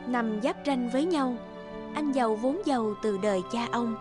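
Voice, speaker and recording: A woman's voice: fairly high pitch, moderate pace. A woman giving scripted storybook narration for a fairy-tale cartoon. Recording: very loud music under the narration.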